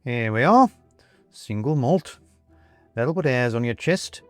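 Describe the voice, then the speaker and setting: A man voicing a jovial, persuasive ghost gentleman in an audiobook, with a British accent and clipped, chummy, old-fashioned upper-class phrasing. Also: mid-range voice, expressive, slow, no room sound, faint music behind. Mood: hearty. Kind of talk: audiobook character acting.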